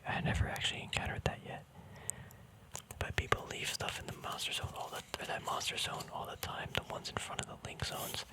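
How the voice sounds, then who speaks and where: A man whispers throughout in a breathy, voiceless hush. He talks casually in an ASMR style, murmuring his commentary as if thinking aloud, with a hesitant, unscripted flow. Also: low-quality recording. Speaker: ASMR video creator. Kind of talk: ASMR, casual rambling commentary on a card deck.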